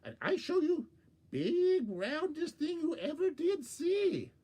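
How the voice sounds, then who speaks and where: A man's voice: extremely high pitch, big pitch swings, slow pace, dark tone, voice pushed up, heavily acted. A man voicing a small female trickster animal in a teasing, mischievous way.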